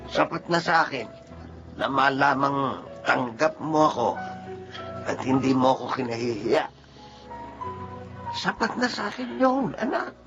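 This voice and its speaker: A man with a Filipino accent playing a gentle, forgiving, quietly proud father in a heartfelt radio drama. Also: medium pitch. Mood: tender. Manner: tender, heartfelt. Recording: dull lo-fi radio sound, music behind.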